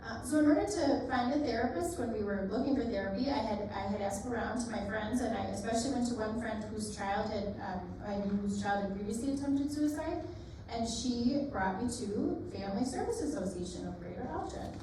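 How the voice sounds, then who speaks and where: A woman's voice: a little high, lively intonation, a little fast, dark tone. Woman interpreting at a community event. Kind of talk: interpreting a testimony. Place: library meeting room. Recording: very echoey room from far off, noisy.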